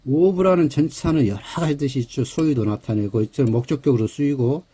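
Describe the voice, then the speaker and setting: A middle-aged man with a Jeolla Korean accent giving a relaxed English grammar lecture, pausing on fillers and correcting himself. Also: medium pitch, husky. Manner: relaxed, slightly hesitant, with fillers and self-corrections.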